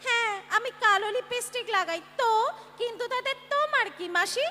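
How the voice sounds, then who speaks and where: Woman as a very high-pitched, sassy young maid. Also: expressive, brisk, bright, forceful tone, heavily acted. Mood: defiant. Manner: sassy, bossy, impatient. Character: brash young woman job-seeker, cheeky, bossy, impatient. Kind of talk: dramatised play reading.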